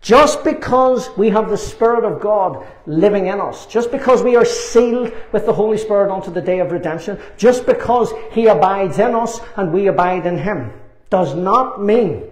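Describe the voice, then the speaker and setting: An older man in his sixties preaches with fervour in a high-pitched voice. He drives points home with emphatic repetition and deliberate pauses. The room adds a clear echo around his words. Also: expressive. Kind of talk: fervent sermon. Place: small church meeting room, indoors. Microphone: lapel mic. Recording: roomy, echoing meeting room.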